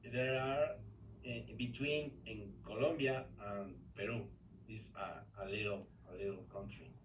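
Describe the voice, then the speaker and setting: A man from Ecuador, a native Spanish speaker with an Ecuadorian Spanish accent, talking haltingly in English class with many fillers and restarts. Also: on the low side, steady tone. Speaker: English learner. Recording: echoey room, distant mic, faint noise.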